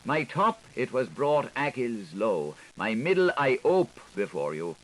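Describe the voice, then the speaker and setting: A man's voice: mid-range voice, sing-song, measured pace, rough recording. A man reading experimental literary prose as an audiobook in a theatrical, playful style, with rhythmic delivery of wordplay. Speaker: audiobook reader. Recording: hissy older studio recording.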